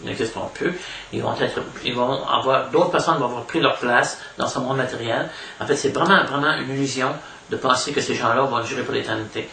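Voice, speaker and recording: A man with a Québécois French accent giving an informal spiritual lecture as a devotional preacher, haltingly, repeating words and adding little question tags. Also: mid-range voice, gravelly, sharp tone. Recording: echoey room, distant mic, faint noise.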